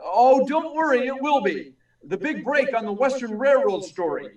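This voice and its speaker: A man's voice: extremely high pitch, expressive, moderate pace, a little mellow, distant mic. A man playing an earnest, urgent young newspaper reporter in a 1940s-style radio drama. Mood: eager, pressing. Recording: echoey room, away from mic.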